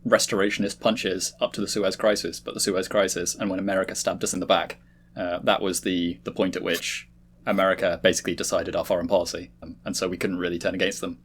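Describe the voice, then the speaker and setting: A man with a husky voice, talking politics casually in a group discussion. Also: deep voice, little pitch movement, quick pace, fairly bright tone. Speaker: panel discussion regular.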